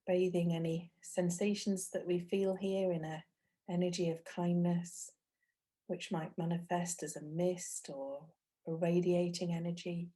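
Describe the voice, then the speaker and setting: A woman teaching a guided meditation, speaking gently and unhurriedly, with long pauses between phrases. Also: fairly low pitch, flat delivery.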